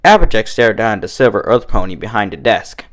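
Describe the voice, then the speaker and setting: A man reading fan fiction aloud as an expressive storybook narrator. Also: fairly low pitch, brisk, background noise.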